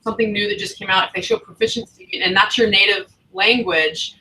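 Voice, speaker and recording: A woman's voice: medium pitch, steady tone, a little fast, bright, forceful tone, far from the mic, a little hiss or hum, low-quality recording. A woman academic advisor talking casually to new students on a livestream, chatty and encouraging. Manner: chatty, encouraging, loose phrasing.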